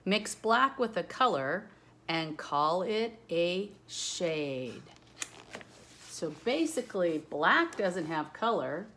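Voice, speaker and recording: Slow-paced woman reading aloud. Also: fairly low pitch, very animated, bright, forceful tone, a little hiss or hum. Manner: demonstrative, speaking directly to young listeners. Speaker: teacher reading a picture book aloud. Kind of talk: read-aloud of a rhyming children's book with casual explaining asides.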